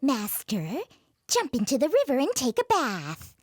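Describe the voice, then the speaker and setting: A woman's voice: high voice, wildly swooping pitch, unhurried, no room sound, clean studio sound, heavily acted. A woman voicing a sly, scheming male cat in a cartoon storybook, coaxing and commanding. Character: sly clever tomcat, scheming, bossy male. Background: children's music.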